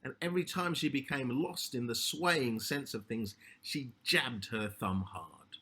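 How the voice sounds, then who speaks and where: A man narrating a literary short story as its author, in measured, deliberate phrases with a slightly husky voice. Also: mid-range voice, pushed and bright, slight background noise.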